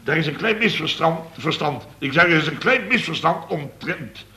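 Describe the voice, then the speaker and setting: Blustering, flustered boss, very bright. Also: male voice, a little high, moderate pace. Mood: nervous, placating. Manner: stammering, repetitive, excitable. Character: blustering, flustered male building contractor boss. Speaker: radio comedy actor. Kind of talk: Dutch radio comedy serial acting.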